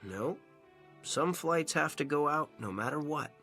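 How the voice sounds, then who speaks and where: A man's voice: a little high, lively intonation, a little slow, bright, forceful tone, faint music behind, heavily acted. An actor playing an easygoing, whimsical, joking man with a breezy, playful delivery. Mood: kindly, weary. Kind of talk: acted audio drama dialogue.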